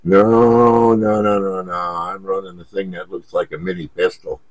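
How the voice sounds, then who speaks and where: A man's voice: low voice, level tone, unhurried, no room sound, highs cut off. A man chatting casually over CB radio in an easygoing, folksy, hesitant way. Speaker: CB radio operator. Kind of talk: casual radio contact chat.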